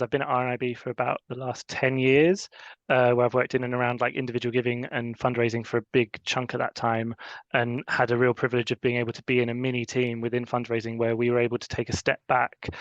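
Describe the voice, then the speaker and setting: Male panelist, monotone, muffled and dry call recording. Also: medium pitch, no room sound. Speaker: panelist, charity engagement lead. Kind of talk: self-introduction on a panel. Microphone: video call. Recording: dull dry video-call audio.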